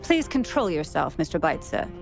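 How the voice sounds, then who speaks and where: An actress playing a stern, composed presiding official in a video game, speaking formally and with command. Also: female voice, low voice, big pitch swings, normal speed, creaky, faint music behind, full character voice.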